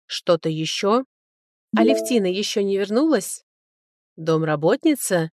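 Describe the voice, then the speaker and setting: A woman reading the lines of a calm, polite male caregiver in an audiobook novel, soft-spoken and reassuring. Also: mid-range voice, unhurried, no room sound, clean studio sound, lightly acted. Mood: calm, helpful.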